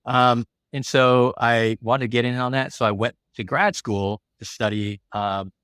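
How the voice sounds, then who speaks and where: A man's voice: medium pitch, steady tone, a little slow, a bit bright, clean tone, dry recording, studio quality. A man in his forties with an American accent, telling his life story as a podcast guest, casual and rambling with many fillers. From California.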